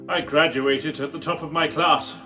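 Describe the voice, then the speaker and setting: A man's voice: fairly high pitch, fairly even intonation, moderate pace, over music, close to an everyday voice. A man acting a haunted, formal scientist telling his tragic tale in a radio drama. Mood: fervent, reminiscing. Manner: formal, brooding, theatrical.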